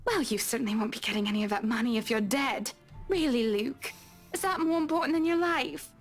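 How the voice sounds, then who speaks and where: Actress as an exasperated, high-voiced woman. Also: a little fast, soft background music, natural voice. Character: exasperated woman scolding a man.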